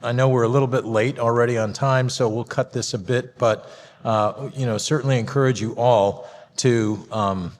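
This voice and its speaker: A man moderating a conference panel, introducing the speakers with many halting fillers. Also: on the low side, a little hiss or hum. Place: conference hall. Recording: reverberant hall.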